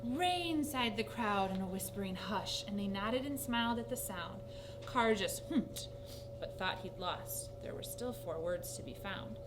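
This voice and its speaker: A woman reading a rhyming children's story aloud in an animated, theatrical way. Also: mid-range voice, unhurried. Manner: animated, theatrical with character voices. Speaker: storybook reader. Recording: noisy recording with steady hum.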